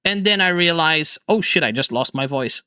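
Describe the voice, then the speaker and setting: A man hosting a casual video chat stream, energetic and laughing often, with quick restarts. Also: a little high, clear, resonant tone, dry recording. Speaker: streamer and host.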